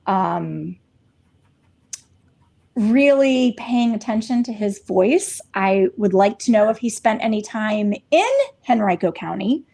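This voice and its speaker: A woman from Virginia, co-hosting a podcast in lively, casual chat. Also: mid-range voice, takes it slowly, vocal fry. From Virginia, Shenandoah Valley. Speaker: podcast co-host.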